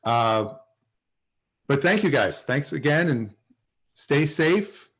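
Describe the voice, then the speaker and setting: A man hosting a relaxed group chat over a video call, speaking conversationally. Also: fairly high pitch, takes it slowly. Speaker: host of an online show.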